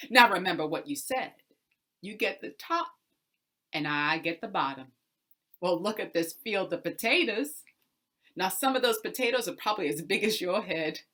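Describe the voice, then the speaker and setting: A crafty tenant farmer from a folk tale played by a woman storyteller. He is courteous and measured, with a bright, carrying delivery and slow, sly cheer. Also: fairly high pitch, voice pushed up.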